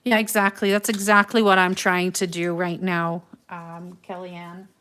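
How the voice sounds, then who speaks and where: A middle-aged woman from the Netherlands with a Dutch accent, hosting a live craft tutorial and chatting with viewers in an unhurried, musing way with frequent ums. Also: on the low side, level tone, fairly bright tone, clear, resonant tone. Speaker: craft livestream host.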